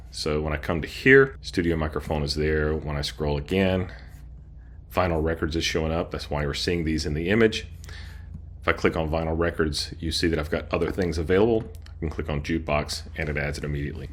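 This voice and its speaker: A man hosting a casual software tutorial, explaining things informally step by step. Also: sits low. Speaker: host.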